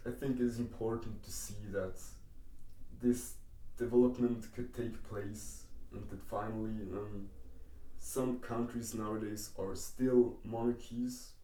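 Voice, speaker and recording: Slow, halting Swiss man explaining. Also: fairly low pitch, fairly even intonation, mellow, far from the mic. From Switzerland. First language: not English. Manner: slow, halting, many pauses and ums. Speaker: educational YouTuber. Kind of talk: explainer narration over diagrams. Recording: echoey room, voice away from the mic.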